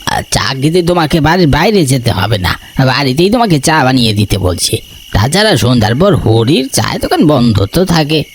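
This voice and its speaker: A man's voice: medium pitch, sing-song, moderate pace, a little hiss or hum, close to an everyday voice. A man voicing a protective, authoritative older village landlord and father in a cartoon. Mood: worried. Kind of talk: dramatized cartoon dialogue.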